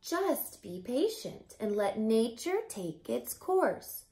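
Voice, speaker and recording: A woman voicing a calm but exasperated storybook caterpillar who gives terse instructions. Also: on the high side, slow, echoey room, soft background music, voice pushed down, heavily acted. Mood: weary, curt.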